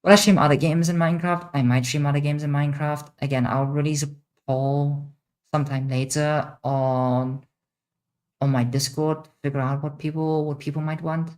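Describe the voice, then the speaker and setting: A young woman with a mixed international accent, answering chat questions on a casual livestream, blunt and quick to swear. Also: low voice, steady tone, darkish tone. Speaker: streamer.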